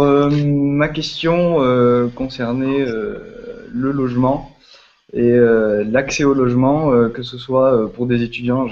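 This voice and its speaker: A man in his twenties, from Belgium, asking a question slowly with many fillers in a video chat. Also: medium pitch. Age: 20s. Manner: slow, hesitant, many fillers. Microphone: video call. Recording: dull lo-fi video call audio.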